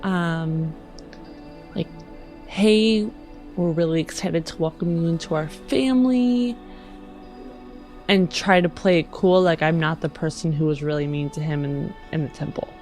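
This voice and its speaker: Female tabletop player, lowish voice, slow casual improvisation, a little hesitant, light music behind. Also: fairly even intonation, darkish tone.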